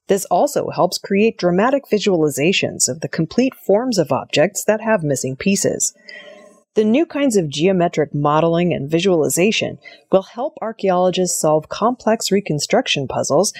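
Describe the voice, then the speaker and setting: A woman reading scripted news narration in a measured, formal manner. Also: on the low side, lively intonation, darkish tone, breathy edge, no echo, clean studio sound. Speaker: news reader.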